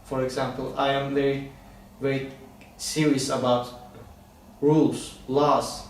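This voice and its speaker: A young man answering interview questions calmly and reflectively, telling his own story. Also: medium pitch, a little slow, a little hiss or hum. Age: young adult. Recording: very echoey room, distant mic, faint ambience.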